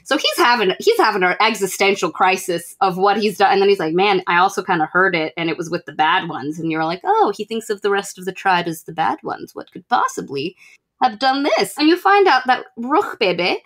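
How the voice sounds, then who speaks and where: A young woman giving a casual, comic book recap as a podcast co-host, animated and slipping into mock quotes. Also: mid-range voice, fairly quick. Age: young adult. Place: small room at home with bookshelves.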